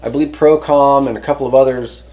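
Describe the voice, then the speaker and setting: A man's voice: mid-range voice, fairly even intonation, dark tone. Casual man talking through product tests. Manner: conversational, rambling, often pausing to rephrase. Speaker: host reviewing CB radio antennas. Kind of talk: casual unscripted product talk.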